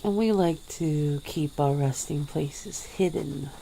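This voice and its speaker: A woman voicing an ancient, weary female vampire in a soft-spoken ASMR roleplay, with low chuckles. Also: slow, vocal fry, a little hiss or hum, exaggerated voice, poor audio.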